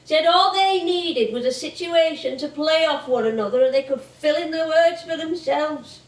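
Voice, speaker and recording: An actress playing a stubborn, wry old music-hall comedienne with a northern English accent in a stage drama. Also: female voice, high-pitched, normal speed, natural voice. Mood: wry, reminiscing. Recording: echoey theatre, distant mic.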